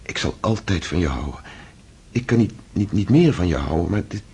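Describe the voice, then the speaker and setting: A reflective, loving husband in a radio play. His voice is lowish and very husky, with hesitant phrasing that moves around a lot in pitch. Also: expressive, fairly quick, darkish tone, heavily acted. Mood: affectionate. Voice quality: breathy, husky. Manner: hesitant, thoughtful. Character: earnest, reflective, affectionate idealist husband. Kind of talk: intimate radio drama acting.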